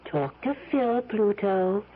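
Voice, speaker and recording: Slow, coaxing radio-drama wife. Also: female voice, medium pitch, animated. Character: coaxing, insistent wife with a pet cat. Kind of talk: 1970s radio drama acting. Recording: muffled, faintly noisy 1970s radio broadcast.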